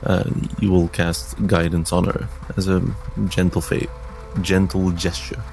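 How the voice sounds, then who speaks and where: A man's voice: low voice, monotone, unhurried, darkish tone, a little hiss or hum. A man improvising third-person narration as a player in a tabletop game.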